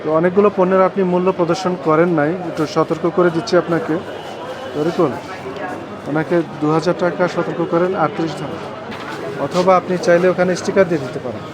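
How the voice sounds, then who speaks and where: High-voiced Bangladeshi male inspection official. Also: steady tone, fast, crowd noise. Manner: formal, instructive, questioning. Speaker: consumer rights enforcement official. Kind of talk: on-site inspection questioning and explaining. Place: recorded on location at market shops. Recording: busy outdoor market street noise.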